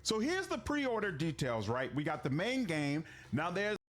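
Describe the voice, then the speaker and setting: Exasperated high-pitched man explaining. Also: big pitch swings, normal speed, fairly bright tone. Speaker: gaming video creator. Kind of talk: casual news commentary.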